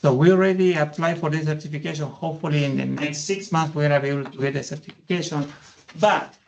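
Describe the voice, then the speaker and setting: A gravelly, somewhat high-voiced man in his fifties speaks English with an Argentine Spanish accent. He presents to a board in a lively, anecdotal way, telling stories and chuckling now and then. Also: quick pace, highs cut off. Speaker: community loan fund CEO presenting. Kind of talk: meeting presentation.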